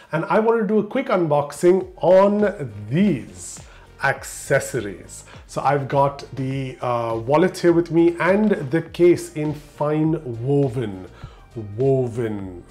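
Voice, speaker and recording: A man's voice: on the high side, animated, a little slow, darkish tone, faint music behind. A young man from Dubai with an Indian accent, chatting casually as he unboxes gadgets and keeps asking viewers what they think. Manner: chatty, asks viewers for comments. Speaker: tech channel host.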